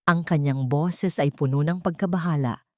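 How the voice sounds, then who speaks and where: A woman narrating a scripted romance story in a steady, measured reading style. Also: sits low, dry recording, studio quality.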